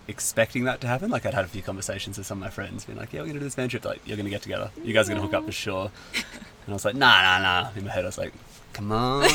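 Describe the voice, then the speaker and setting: A man from Australia, a guest in a casual chat, talking in a relaxed, rambling way. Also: fairly low pitch, gravelly.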